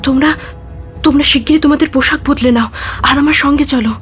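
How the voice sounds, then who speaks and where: A gentle but brave young village widow played by a woman in an audio drama. Her voice is high and earnest, full of feeling, over a bed of music. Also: steady tone, quick pace, in a large hall, close to an everyday voice. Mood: urgent, frightened. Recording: music under the voice.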